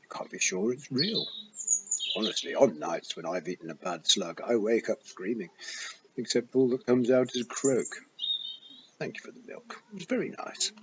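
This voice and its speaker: A man's voice: mid-range voice, moderate pace, natural voice. A man voicing an anxious talking toad who was once human, musing and fretting as he rambles. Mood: fretful musing. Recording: muffled with steady hiss.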